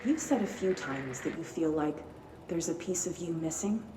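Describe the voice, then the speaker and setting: A woman playing a calm, detached psychiatrist in a game, asking measured, probing questions. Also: low-pitched. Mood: composed, probing. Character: calm, clinical female psychiatrist questioning a patient. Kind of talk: video game cutscene acting. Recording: roomy, distant, noisy.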